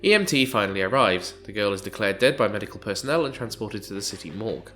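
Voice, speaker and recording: A man's voice: on the low side, animated, quick pace, bright, forceful tone. Stuffy-nosed man with wry game commentary. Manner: wry, chatty. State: stuffy-nosed from hay fever. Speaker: let's play host. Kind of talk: casual gameplay commentary. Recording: game music faintly behind.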